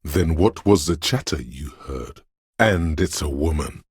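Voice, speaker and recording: A man voicing a paranoid, aggressive inner voice of primal fear in a video game, menacing, urgent and ominous, with a gravelly, husky sound. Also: a bass voice, lively intonation, measured pace, darkish tone, dry recording, studio quality, heavily acted. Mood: ominous, fearful. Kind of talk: video game voice acting, second-person dramatic narration.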